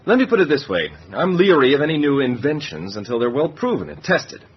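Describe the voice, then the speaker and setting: A middle-aged actor playing a gruff, wry, skeptical veteran submarine captain in a radio drama, with a dry, commanding manner. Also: male voice, a little high, big pitch swings, fairly quick. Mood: firm. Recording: dull, lo-fi radio drama sound.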